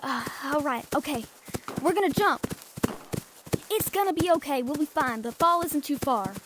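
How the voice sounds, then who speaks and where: High-voiced, wry woman treasure hunter, acted out. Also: animated, moderate pace, noisy background. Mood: urgent, panicked. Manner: wry, sarcastic, informal banter with swearing. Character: adventurous adult female treasure hunter, brash, wry, brave. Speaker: voice actor. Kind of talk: audio roleplay acting addressed to the listener.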